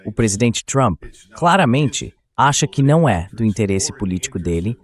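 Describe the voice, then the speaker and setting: A man's voice: on the low side, sing-song, moderate pace. Synthetic male voice giving political commentary. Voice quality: synthetic AI voice-over. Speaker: interview guest, political commentator. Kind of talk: dubbed translation of interview analysis.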